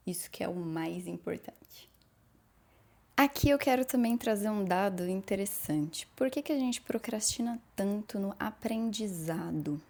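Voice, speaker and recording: Woman in her 30s, podcast language teacher, mellow and warm, encouraging. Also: medium pitch. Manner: encouraging, direct, repeats phrases for emphasis. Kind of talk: podcast lesson, addressing the listener.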